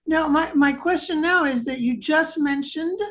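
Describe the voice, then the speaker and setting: A woman with a fairly high voice works through a dense philosophical question, choosing her words carefully and pausing as she goes. She speaks over a video call. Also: a bit bright. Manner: careful, halting, abstract phrasing. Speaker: academic asking the speaker a question.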